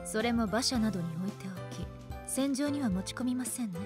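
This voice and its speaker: A woman's voice: on the high side, lively intonation, fairly quick, over music, close to an everyday voice. A woman playing a strict, composed magic-academy teacher with coolly menacing politeness and precise scolding, in an anime-style radio drama. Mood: strict, instructive.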